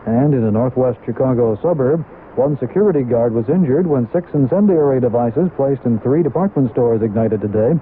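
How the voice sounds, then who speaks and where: Male, American, radio news reader, scripted bulletin, medium pitch, 1970s AM sound, narrow band, slight noise. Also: fairly quick. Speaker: radio newsreader.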